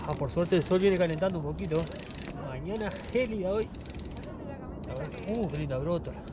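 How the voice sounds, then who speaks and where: A young man talks excitedly from a kayak, repeating his exclamations over each catch. His voice sits a little high, and it is recorded on a camera out at sea. Heavy wind and water roar almost drown him out. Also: normal speed. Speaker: fishing vlogger. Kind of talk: casual outdoor vlog commentary. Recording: loud wind and water noise.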